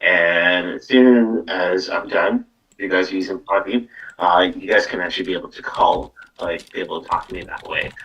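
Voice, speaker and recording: A man's voice: sits low, fairly even intonation, normal speed, gravelly, a bit bright. A man hosting a live psychic card reading in casual, rambling talk, often repeating words and tagging phrases with "though". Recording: distant from the microphone.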